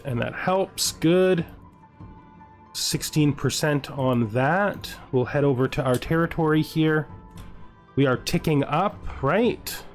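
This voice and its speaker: Man thinking aloud over a strategy game. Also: medium pitch. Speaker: gamer narrating his own playthrough. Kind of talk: casual let's-play commentary, thinking aloud.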